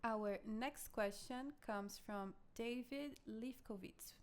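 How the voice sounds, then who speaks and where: A woman's voice: on the high side, takes it slowly, darkish tone. A woman working as a conference call operator, making scripted announcements.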